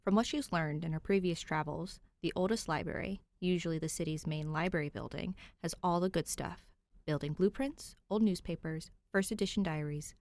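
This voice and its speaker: A woman's voice: on the low side, no room sound. A woman hosting and narrating a fan fiction reading, close to the microphone. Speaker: host and reader of a fan fiction podcast. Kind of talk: audiobook-style story narration with chatty host intro.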